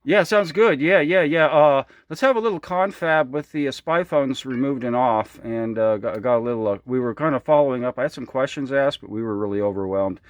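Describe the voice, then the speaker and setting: A man's voice: medium pitch, a little fast, hardly any pauses, slight background noise. An American man chatting casually as an amateur radio operator, rambling along in a folksy, enthusiastic way. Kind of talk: casual ham radio chat. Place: indoors at a home radio station.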